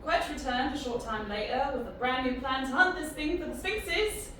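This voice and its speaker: A woman's voice: sits high, moderate pace, sharp tone. A woman playing a frank, irreverent young woman in a sci-fi audio drama, talking in a casual, wry, confessional way. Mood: frank, reflective. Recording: very echoey, distant, clearly noisy.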